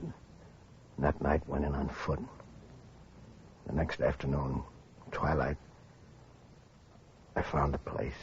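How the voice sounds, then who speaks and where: A man's voice: a bass voice, darkish tone, slight background noise. A man playing a grieving middle-aged survivor of an atomic war in a somber, subdued 1940s radio drama style. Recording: muffled old radio broadcast recording.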